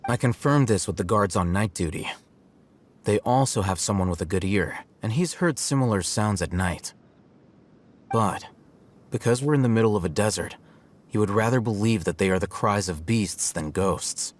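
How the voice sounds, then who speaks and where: A man playing a calm, aloof, bookish young scholar in a video game, composed, dry and deadpan. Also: fairly low pitch, moderate pace, natural voice. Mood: matter-of-fact. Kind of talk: understated video game dialogue.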